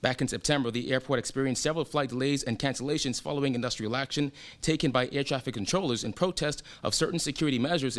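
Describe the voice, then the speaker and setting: A male news reporter reads a scripted report. His pitch sits mid-range for a man, and he moves through the copy at a quick pace.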